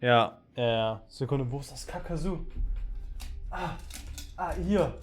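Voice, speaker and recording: A man's voice: medium pitch, expressive, unhurried. A man streaming a game live, giving casual, joking, offhand commentary. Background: game music.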